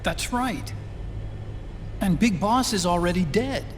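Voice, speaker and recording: An actor playing an earnest, soft-hearted and troubled male tech researcher in a video game. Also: sits high, animated, slow pace. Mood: confirming. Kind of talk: video game cutscene acting. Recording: reverberant space, clear background noise.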